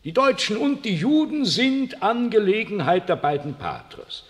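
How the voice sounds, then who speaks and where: An imperious aging pope in a 1960s radio drama, lofty and cold. His pitch swings very widely, and the voice rings with a long reverb. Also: big pitch swings, measured pace. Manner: lofty, cold, uses royal we. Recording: long reverb of a large room.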